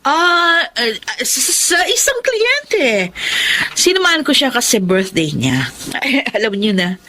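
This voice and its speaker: An actress with a Filipino accent playing a scheming, evasive unfaithful wife in a radio drama. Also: female voice, high voice, very animated, takes it slowly, sharp tone, exaggerated voice. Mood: nervous. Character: scheming unfaithful wife, young career woman, coy and evasive.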